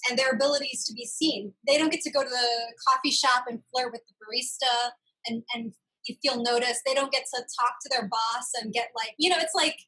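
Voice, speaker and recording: A woman with a high-pitched, bright and forceful voice hosts a candid, casual conversation. She talks reflectively and articulately, giving emphasis to the points that matter to her. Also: fairly quick, poor audio. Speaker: podcast host.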